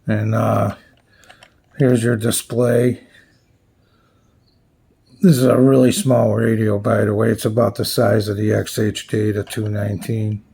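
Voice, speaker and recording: A man giving a casual, unscripted product walkthrough as a reviewer, plainspoken and halting as he thinks aloud. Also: fairly low pitch, level tone, warm tone. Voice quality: gravelly, husky. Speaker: radio reviewer presenting his channel.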